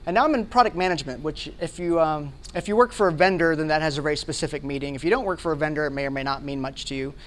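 A man's voice: fairly high pitch, very fast, hardly any pauses, a bit bright. A man in his forties with an American accent gives an informal tech demo, explaining conversationally and often checking in with his listeners. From Hawaii and Alabama, United States. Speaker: conference speaker, software product manager. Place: indoor meeting room, presenting to a group.

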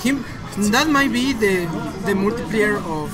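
A man's voice: high voice, lively intonation, a little slow. A man from Mexico with a Mexican Spanish accent, casually commentating a fighting-game tournament in English as a second language, chatty and laughing. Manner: chatty, laughing, playful asides. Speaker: esports commentator. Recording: music playing loudly behind. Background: loud game music.

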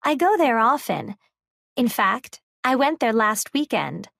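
Female reader for a language lesson, unhurried, clear, dry close recording. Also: a little high, very animated, fairly bright tone, no room sound. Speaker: recorded listening exercise speaker. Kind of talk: scripted read-aloud.

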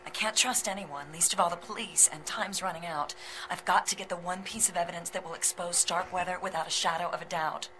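Woman playing a low-voiced, urgent investigator. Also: steady tone, fairly quick, natural voice. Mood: urgent, wary. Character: determined, fearful female investigator exposing a villain. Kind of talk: video game voice acting. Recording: noisy camcorder-style recording.

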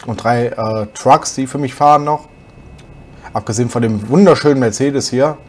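A young man with a slight cold, hoarse, chatting casually as he plays a truck driving game for his channel. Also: mid-range voice, normal speed. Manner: relaxed, chatty, musing aloud while driving. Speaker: gaming channel host.

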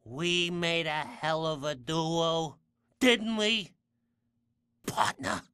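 A feisty little undead sidekick from a video game. The voice is pitched high and comes out bright and piercing. He sounds bratty, excitable and ready to swear at anyone. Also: very slow, clean tone, no room sound, clean studio sound, exaggerated voice. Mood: eager, conspiratorial. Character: feisty, foul-mouthed male undead runt sidekick. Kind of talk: video game cutscene acting.

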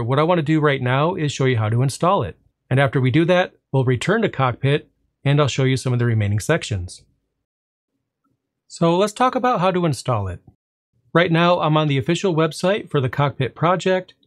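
Man walking through a server dashboard. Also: fairly high pitch. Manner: relaxed explanatory, drawn-out "well" before punchlines. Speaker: tech tutorial host. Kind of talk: screen-recorded software walkthrough.

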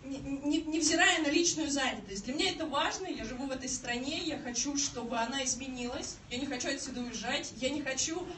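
A woman's voice: high-pitched, brisk, few pauses, a bit bright. Earnest young woman heard from afar. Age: 20s. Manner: earnest, hesitant, with restarts. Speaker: campaign office coordinator. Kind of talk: addressing a public meeting. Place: crowded meeting room indoors. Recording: echoey room, mic far off, noisy.

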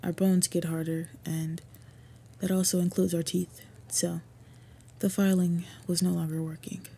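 Breathy, mellow half-orc woman, acted by a woman. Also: on the low side, little pitch movement, exaggerated voice. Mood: earnest, reminiscing. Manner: formal, earnest, measured. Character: earnest half-orc woman, devoted lover, respectful suitor to royalty. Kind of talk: scripted fantasy roleplay audio.

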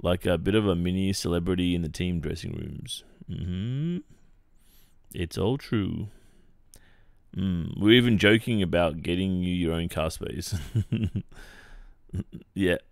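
A man's voice: sits low, measured pace, vocal fry, pauses often, no echo, studio quality. An Australian man playing a friendly, teasing young football captain in a hesitant, casual ASMR boyfriend roleplay. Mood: shy, teasing. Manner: hesitant, casual, murmuring hums and chuckles.

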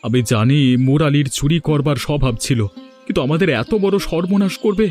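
An actor playing a troubled, fatalistic poor village eldest brother in a cartoon, earnest and grave. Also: male voice, on the high side, lively intonation, a little fast, darkish tone, faint music behind, natural voice. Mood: gloomy, resigned. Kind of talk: cartoon moral story voice acting.

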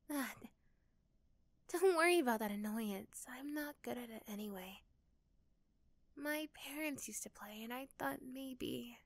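A woman voicing a sweet, caring, shy young neighbour girl in an ASMR roleplay, gentle and hesitant. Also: a little high, gravelly, no echo, pitched above the performer's own voice, exaggerated voice. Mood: shy, subdued.

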